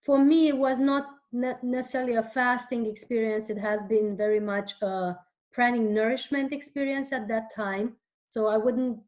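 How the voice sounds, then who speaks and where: A woman whose first language is Romanian, answering interview questions as a guest author in a slow, searching way with frequent restarts. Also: medium pitch, a little mellow, lo-fi sound.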